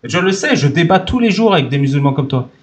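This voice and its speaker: A man's voice: a little high, animated, fast. A man hosting a heated live-stream debate, blunt and insistent.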